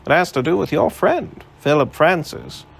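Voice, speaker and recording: A man's voice: on the high side, lively intonation, normal speed, gravelly, voice pushed up. A man reading aloud, voicing a brash young male newspaper reporter with a breezy, confident manner. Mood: cocky.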